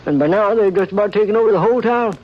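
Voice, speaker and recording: An easygoing old frontier doc, high folksy drawl, chuckles; dull lo-fi old radio sound. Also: male voice, rapid-fire. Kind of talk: 1950s radio drama acting.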